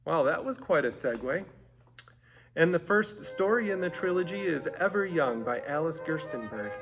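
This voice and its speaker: A man's voice: fairly high pitch, expressive, normal speed, fairly bright tone, low-quality recording. A man hosting a community theater broadcast, introducing the play in a playful, chatty way. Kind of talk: casual scripted introduction. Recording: guitar music behind.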